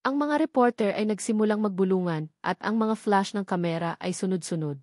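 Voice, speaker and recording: Filipina story narrator, close dry sound. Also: female voice, mid-range voice, brisk, a little mellow, no room sound. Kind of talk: scripted dramatic narration of a romance story.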